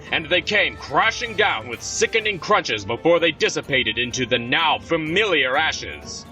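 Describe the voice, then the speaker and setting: A man's voice: a little high, pushed and bright, distant mic, poor audio. A man giving scripted, dramatic narration for a fantasy story.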